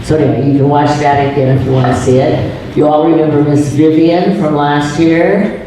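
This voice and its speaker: A man's voice: on the high side, little pitch movement, normal speed, dark tone, heavy reverb, far from the mic, slight background noise, low-quality recording. A man hosting a class session, introducing a guest in a chatty, joking way. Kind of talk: classroom presentation.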